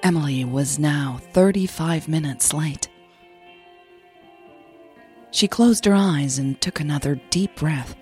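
A woman narrating a scripted romantic audio drama in a soft, measured storytelling manner. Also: deep voice, soft background music. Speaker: narrator and podcast host.

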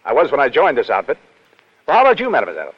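A dull vintage radio recording has a brash male legionnaire, tired of life. He talks quickly and casually in short, stubborn lines, in 1930s radio drama style. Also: medium pitch, huge pitch swings. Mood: weary, wry. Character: brash, world-weary young Foreign Legion private.

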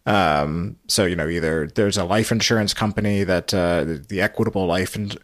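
Very deep-voiced man hosting casually. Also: normal speed, dry recording, clean studio sound. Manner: conversational, informative. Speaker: podcast host. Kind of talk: casual conversation, explaining old radio trivia.

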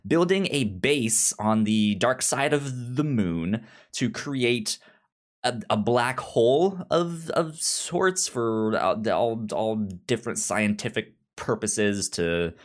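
A podcast host reviewing a book in casual chat, speaking haltingly and often stammering over repeated syllables. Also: mid-range voice.

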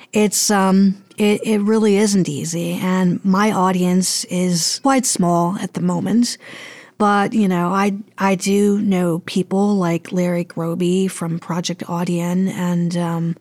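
A woman's voice: fairly low pitch, a little mellow, no echo. A woman speaking casually as an interview guest, rambling a little and often searching for her words. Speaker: interview guest, audio drama producer.

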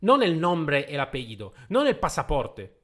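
A man's voice: fairly high pitch, wildly swooping pitch, normal speed, sharp tone. A man from Italy speaking Spanish with an Italian accent, talking emphatically and repeating his points in a casual monologue. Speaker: host.

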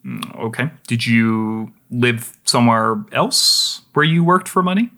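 A man's voice: mid-range voice, lively intonation, unhurried, sharp tone. A man voicing a polite but probing police officer who questions hesitantly with a falsely friendly tone.

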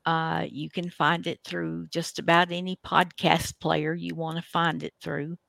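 Casting: folksy radio host, unhurried and gravelly, rambling casually through show intros, very dry close sound. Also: medium pitch, flat delivery, bright, forceful tone, no echo.

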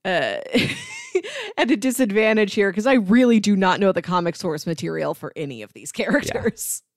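Woman, mid pitch, very lively intonation, dry sound, casual co-host chat. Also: normal speed, no echo. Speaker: podcast co-host.

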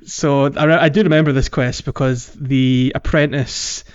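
Slightly muffled higher-voiced man chatting. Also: fairly even intonation, measured pace, clean tone. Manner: jokey, prone to chuckling. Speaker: podcast host. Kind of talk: casual gaming podcast chat.

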